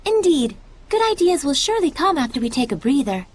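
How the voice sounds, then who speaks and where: A woman playing a gentle, courteous female spirit companion in an animated game, polite, formal and earnest. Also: high-pitched, fairly quick, a little hiss or hum. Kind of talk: animated game cutscene acting.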